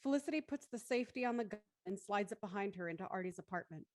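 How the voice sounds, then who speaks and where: A woman's voice: mid-range voice, animated, fast talker, a little mellow, dry recording, studio quality. A woman reading scripted narration for an audio drama thriller.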